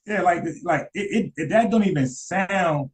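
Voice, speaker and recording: A man's voice: sits high, moderate pace. A man giving casual live-stream commentary, rambling, repeating words and asking his chat questions. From United States.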